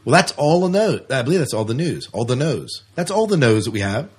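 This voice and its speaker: A man's voice: medium pitch, sing-song, a little fast, husky. A man hosting a podcast in casual banter, chatty, jokey and enthusiastic, often repeating himself for emphasis. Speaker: podcast host.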